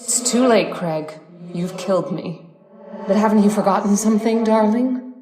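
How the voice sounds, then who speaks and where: An actress playing a vengeful, mocking witch in a radio horror play, taunting in drawn-out, ominous phrases. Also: mid-range voice, roomy sound, background noise, natural voice. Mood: weak, taunting. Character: dying immortal witch in moth form, mocking, vengeful. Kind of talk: old-time radio horror acting.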